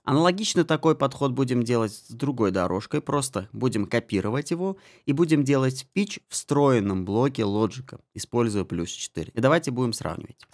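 A man giving a spoken software tutorial as an audio mixing tutor, explaining settings step by step. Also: mid-range voice, animated, a little fast. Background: music and guitar samples.

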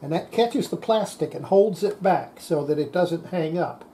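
An older man giving an unscripted hands-on repair demonstration, halting and muttering to himself as he works at a bench. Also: a little high, warm tone, a little hiss or hum. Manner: halting, muttering to self while working. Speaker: radio repair hobbyist, presenter. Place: indoor workbench.

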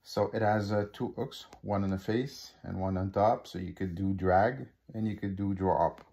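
Gravelly-voiced man with Québécois French accent. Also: deep voice, dark tone. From Quebec. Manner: halting, many fillers and restarts. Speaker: hobby unboxing vlogger. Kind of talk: casual unboxing commentary. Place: living room at a table.

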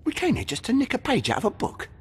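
An actor with a British accent playing a blunt, cocky rogue in a video game. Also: male voice, very high voice, fast, breathy edge, heavily acted. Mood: irritated. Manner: animated, blunt. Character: cocky British male rogue, gruff mercenary. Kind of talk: video game cutscene acting.

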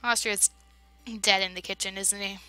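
A woman playing a game, reading its dialogue aloud and reacting with big emotional swings between loud outbursts and hushed narration. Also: mid-range voice, level tone, moderate pace, smooth, faint music behind. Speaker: let's play voice-over player.

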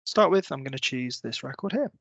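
A man in his thirties presenting a software walkthrough, explaining step by step in a semi-scripted tutorial style. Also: mid-range voice, expressive, a little fast, no echo. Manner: clear, step-by-step explanatory delivery. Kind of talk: semi-scripted screen-share tutorial narration.

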